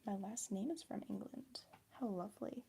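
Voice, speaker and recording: Midwestern girl doing asmr chat, half whispering, bit of vocal fry, faint hiss behind. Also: mid-range voice, normal speed. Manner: soft-spoken, drifting between whisper and quiet voice; chatty and giggly, answering viewers. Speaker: ASMR creator. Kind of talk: ASMR chat while crafting.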